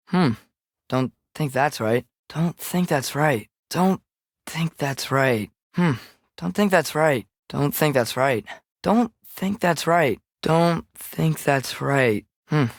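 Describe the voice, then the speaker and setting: A man's voice: on the high side, no room sound, studio quality, heavily acted. A young male actor playing a curious, watchful teenage boy adventurer in a video game, giving short companion callouts. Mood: doubtful, musing.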